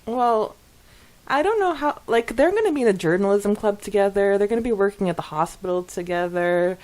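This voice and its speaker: A woman's voice: mid-range voice, lively intonation, a little fast, darkish tone, rough recording. A woman co-hosting a podcast, talking casually and agreeably as she discusses fan topics and reads listener comments. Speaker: podcast co-host.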